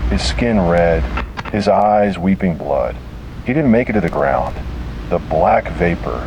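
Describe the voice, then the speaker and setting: A man reading a scripted first-person horror story in a solemn, measured manner with short, deliberate phrases. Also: sits low, background noise. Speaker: narrator.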